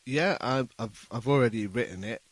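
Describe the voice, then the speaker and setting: A man's voice: mid-range voice, normal speed, no room sound. A man from England, a musician chatting informally as a studio guest and often repeating his phrases. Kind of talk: casual interview chat. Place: radio studio.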